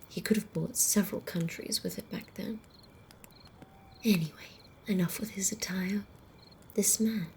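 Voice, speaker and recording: Woman acting a hushed, slow-spoken female vampire storyteller. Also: on the low side. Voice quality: soft, breathy, often whispering. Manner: measured, deliberate, hushed storyteller. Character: ancient female vampire calmly telling old lore by campfire. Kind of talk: slow ASMR roleplay storytelling, half-whispered. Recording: faint outdoor night noise, crickets.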